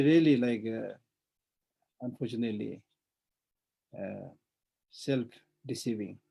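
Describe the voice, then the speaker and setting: A man's voice: medium pitch, warm tone, slightly rough. A man teaching Buddhism online, speaking earnestly, repeating phrases and often saying "you know". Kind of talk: dharma teaching.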